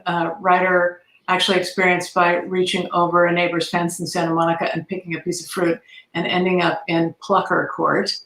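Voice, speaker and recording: A woman's voice: on the low side, fairly bright tone. A woman giving enthusiastic, conversational answers as a guest in an interview. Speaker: guest, theatre arts advocate. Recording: roomy, echoey sound.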